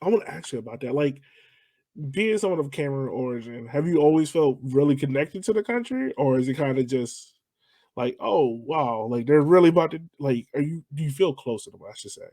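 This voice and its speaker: A man's voice: fairly high pitch, lively intonation, fairly quick, mellow, no echo. A man hosting a podcast, chatting informally and jokingly with a guest in a casual interview style. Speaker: podcast host.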